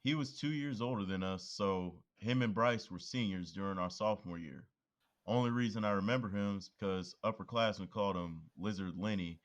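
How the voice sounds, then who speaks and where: A man voices a laid-back young cop who teases his friend in an easygoing way. His voice sits fairly low. He sounds like a character in an audio drama. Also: close to an everyday voice. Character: joking young male police officer.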